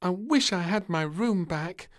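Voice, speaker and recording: A man's voice: sits high, expressive, a little slow, background noise, voice pushed up. A man voicing an indignant, stubborn little princess, a whiny and demanding small girl in a storybook. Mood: despairing.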